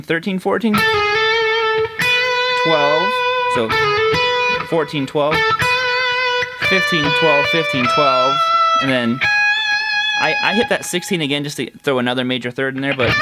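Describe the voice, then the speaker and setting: Congested man teaching guitar licks. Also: on the high side. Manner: congested, nasal; explains while playing, counts fret numbers. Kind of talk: casual guitar lesson.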